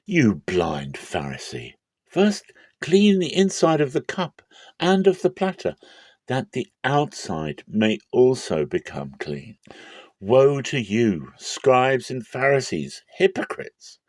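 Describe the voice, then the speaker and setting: Guy doing a scathing preacher-prophet in a bible drama, emphatic, voice jumping up high, dry close sound. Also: huge pitch swings, slow pace, a little mellow, dry recording, heavily acted. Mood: scathing indignation. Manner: emphatic, declamatory, swooping delivery. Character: stern prophet-teacher denouncing hypocrites, indignant and scathing.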